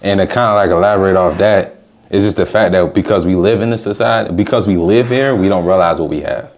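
A man's voice: sits low, fast talker. A man hosting a podcast, speaking in casual slang and agreeing often in a loose, chatty way. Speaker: podcast host.

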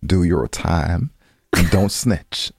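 A man's voice: deep voice, takes it slowly, gravelly, darkish tone, slightly rough, no room sound. A man co-hosting a podcast in casual, slangy chat, repeating phrases for emphasis. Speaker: podcast co-host.

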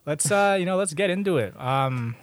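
A man's voice: on the high side, animated, normal speed, no room sound. A man hosting a podcast, telling stories in an informal, casual way and swearing freely. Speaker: podcast host. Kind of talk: casual banter and storytelling. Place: small room. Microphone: close podcast microphone.

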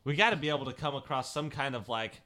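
A man taking part in a tabletop game, talking casually in a blustery, rambling way. His voice sits at an ordinary middle pitch. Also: lively intonation, fast talker, sharp tone, no echo. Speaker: player.

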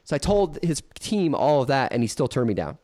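A man's voice: medium pitch, very animated, fairly quick, mellow. A man in his thirties hosting a podcast, teasing his guest and telling stories in a joking way. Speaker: podcast host. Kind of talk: casual podcast chat.

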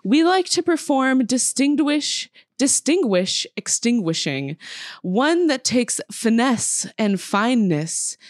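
A prim, unhurried dispatcher , acted by a woman in a comedy sketch, speaking with fussy formality. The sound is dry and close, and the delivery is self-important and courteous. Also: fairly high pitch, sing-song, no room sound. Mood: prim, unruffled. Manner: pompous, fussy, overly formal. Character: prim, unhurried, pompous female artisanal fire department dispatcher. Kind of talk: comedy sketch table read.

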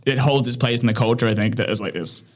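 Brief: male interview guest, mid-pitched, thoughtful, searching for words, laughs easily. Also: quick pace. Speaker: guest, founder of an online chess training platform. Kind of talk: casual conversational interview.

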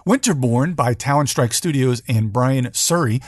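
A man's voice: mid-range voice, expressive, moderate pace, no room sound, studio quality. A man hosting a podcast, giving a casual news rundown on board games in an informal, loosely phrased way. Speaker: podcast host.

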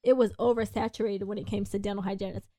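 A woman's voice: medium pitch, flat delivery, fast, mellow, dry recording. A woman who is a dental hygienist and practice owner, co-hosting a casual podcast chat and animatedly acting out the people she quotes.

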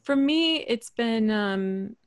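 A woman musician being interviewed over a video call, talking reflectively with frequent fillers and soft laughs. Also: a little high, expressive, slow pace, dry recording. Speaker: musician guest. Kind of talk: relaxed interview chat.

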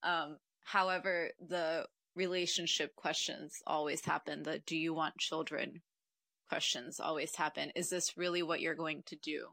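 A woman's voice: deep voice, little pitch movement, normal speed, creaky, sharp tone, no echo. A woman in her twenties from Mexico, a podcast guest talking earnestly and reflectively, with frequent ums. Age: 20s. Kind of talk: casual interview conversation.

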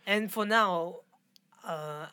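A woman in her forties from Hong Kong, speaking with a Hong Kong accent and halting, searching English as an interview guest. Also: lowish voice, sharp tone, clean tone, dry recording. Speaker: fashion designer, guest. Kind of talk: conversational interview.

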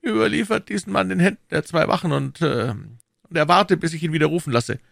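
A man voicing an imperious, keen cardinal in an audiobook, brisk and probing as he questions and commands. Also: on the high side, gravelly, a bit bright, no echo, studio quality, pitched above the performer's own voice. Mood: curt, commanding. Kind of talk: audiobook character dialogue.

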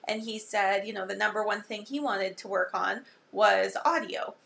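A woman from Saskatchewan co-hosting a podcast in casual chat, upbeat and quick to agree. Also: medium pitch, steady tone, vocal fry, fairly bright tone. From Saskatchewan, Canada. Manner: upbeat, agreeable, frequent affirmations. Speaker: podcast co-host.

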